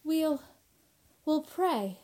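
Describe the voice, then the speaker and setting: A woman's voice: high-pitched, animated, very slow, a little mellow, heavily acted. A woman playing a frightened, fragile young woman in a radio horror drama, anxious and devoted, pleading breathlessly and repeating herself. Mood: soothing, pleading.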